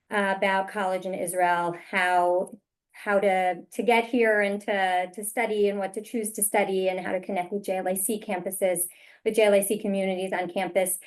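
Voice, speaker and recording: Woman hosting an online panel. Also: mid-range voice. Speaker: panel moderator. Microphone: video call.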